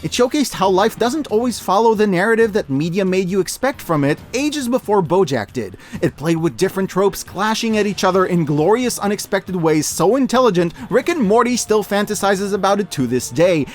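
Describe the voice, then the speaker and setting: A man's voice: sits high, animated, normal speed, few pauses. A man delivering an opinionated scripted review, emphatic and informal, given to hyperbole. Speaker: video essayist reviewing media.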